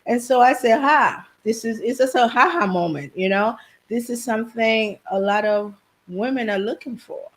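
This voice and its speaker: A woman from Haiti raised in New Jersey, a business owner telling her story softly as a guest on a video call. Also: on the high side, normal speed. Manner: soft-spoken storytelling with laughs, quiet. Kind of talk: casual interview chat.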